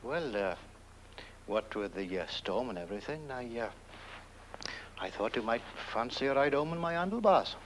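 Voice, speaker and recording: A man's voice: medium pitch, animated, normal speed, long pauses, close to an everyday voice, poor audio. An actor playing a timid, bashful young policeman with a British accent and a hesitant, bumbling manner. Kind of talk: comic film acting. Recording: old film soundtrack, faint noise.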